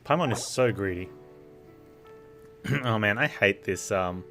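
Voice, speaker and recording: A man's voice: lowish voice, lively intonation, a little slow, sharp tone, soft background music. A man streaming a game with casual commentary, given to dry, muttered asides.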